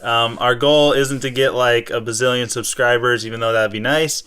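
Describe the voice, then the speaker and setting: A man chatting casually and playfully as co-host of a fan show, halting as he thinks aloud. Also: medium pitch, steady tone, bright, forceful tone, clean tone. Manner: playful, improvised sock-puppet banter. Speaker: fifth and sixth grade teacher. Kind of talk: casual chat.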